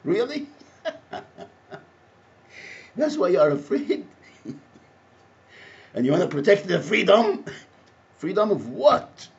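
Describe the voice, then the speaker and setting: A man from Egypt with an Egyptian Arabic accent, speaking off the cuff in a halting, repetitive, rambling monologue. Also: high-pitched, big pitch swings, gravelly. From Alexandria, Egypt. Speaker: activist, self-described advocate. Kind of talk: impromptu spoken monologue to camera. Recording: muffled audio with faint background noise.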